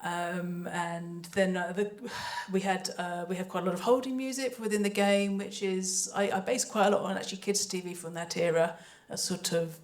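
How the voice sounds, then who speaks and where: A woman's voice: on the low side, fairly even intonation, normal speed, few pauses. A woman speaking on a panel as a composer and sound designer, chatty, hesitant and often interrupting herself. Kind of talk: panel talk about creative work.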